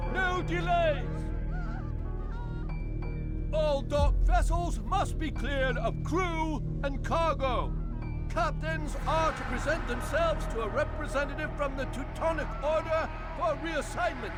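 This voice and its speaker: Game side role: officious male underling at the docks, very high voice, loud music underneath. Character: officious male dock official taking orders. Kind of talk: dramatic video game dialogue.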